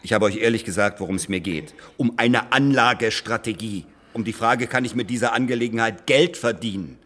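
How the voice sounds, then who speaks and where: An actor playing an impatient, condescending business lawyer in a German radio drama, speaking with sarcastic, rhetorical emphasis. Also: male voice, mid-range voice, fairly bright tone, close to an everyday voice.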